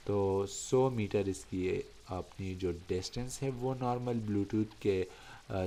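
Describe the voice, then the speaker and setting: A man's voice: sits low, mellow, a little hiss or hum. A man hosting a tech channel, reviewing and explaining an app step by step in a repetitive way, addressing viewers as friends.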